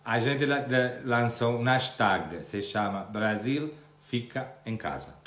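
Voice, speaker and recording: A man's voice: mid-range voice, takes it slowly, fairly bright tone, reverberant. A man with an Italian accent hosting an online interview in Portuguese, talking casually and often hesitating and restarting. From Italy. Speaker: interviewer and channel host.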